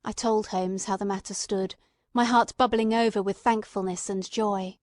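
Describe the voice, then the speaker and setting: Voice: medium pitch, fairly even intonation, normal speed, no echo, clean studio sound, lightly acted. A performer playing an earnest, emotional male companion who narrates a radio mystery in a literary, fervent manner. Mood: somber narration. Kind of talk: dramatic scripted narration, old-time radio mystery.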